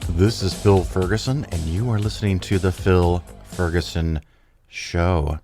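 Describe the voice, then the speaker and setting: A man's voice: low-pitched, fairly even intonation, a little slow, warm tone, faint music behind. A man hosting his own show and talking casually, rambling and correcting himself while he has a cold. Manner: rambling, self-correcting, chatty. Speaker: podcast host. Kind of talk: casual solo talk.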